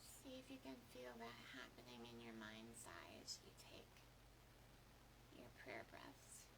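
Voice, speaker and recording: A woman with a very deep voice guides a meditation at an unhurried pace, leaving long pauses between phrases. Her delivery is hushed and deliberate, with plenty of gravelly fry. She is recorded at a distance from the mic. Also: huge pitch swings. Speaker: mindfulness meditation leader at a counseling center. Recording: distant mic with clear background noise.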